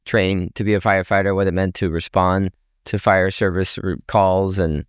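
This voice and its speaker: A man's voice: deep voice, little pitch movement, moderate pace, clear, resonant tone, no echo, clean studio sound. A man hosting a podcast, interviewing a guest with friendly prompting questions. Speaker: podcast host. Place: conference exhibition booth.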